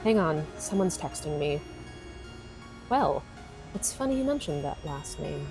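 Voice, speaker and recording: A woman's voice: low voice, sing-song, unhurried, music in the background, exaggerated voice. A woman playing a tough, wry homicide detective in an audio drama, dry and no-nonsense. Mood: urgent.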